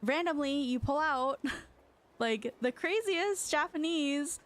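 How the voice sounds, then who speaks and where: A woman's voice: high voice, animated, slow pace. Chatty young woman from China, rambling. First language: Chinese. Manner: rambling, chatty, lots of fillers and restarts. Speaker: streamer. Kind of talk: casual chat stream.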